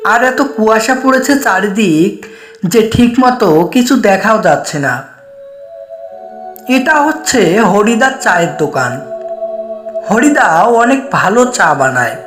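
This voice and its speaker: A woman narrating a scripted horror story in the first person, in a measured, suspenseful way. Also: medium pitch, sing-song, faint music behind. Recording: echoey voice with faint background noise.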